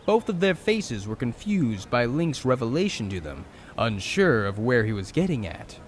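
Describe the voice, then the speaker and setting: Guy narrating an audio drama, really animated, mid pitch, bit of background noise. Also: normal speed. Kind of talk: dramatic scripted story narration.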